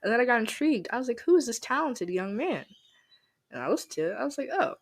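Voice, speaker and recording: A woman's voice: on the high side, very animated, fast, no echo. A woman hosting a podcast interview in a casual, chatty way, laughing often. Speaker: podcast host.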